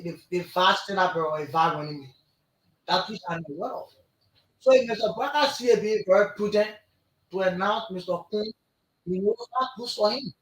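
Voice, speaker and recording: A man's voice: high voice, reverberant, rough recording. A man from Liberia, a guest who argues politics insistently on a radio talk show and repeats himself to keep the floor. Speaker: studio guest, party executive. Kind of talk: heated political debate.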